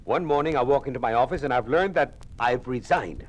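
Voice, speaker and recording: An indignant American politician , played by a man speaks in a medium-pitched voice. He is blunt and earnest, pressing his objections in classic radio-drama style. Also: a little fast, natural voice. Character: blunt, outraged ousted American lieutenant governor.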